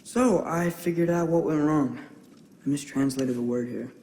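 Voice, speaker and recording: A young actor playing a hesitant, earnest teenage boy in a video game cutscene, speaking in a halting, unsure way. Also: a little high, darkish tone, close to an everyday voice.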